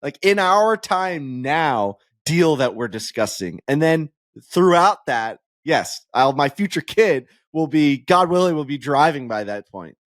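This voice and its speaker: A man's voice: a little high, lively intonation, unhurried, hardly any pauses, a bit bright, no echo, clean studio sound. A man co-hosting a podcast, ranting in casual sports talk and swearing freely. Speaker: podcast co-host.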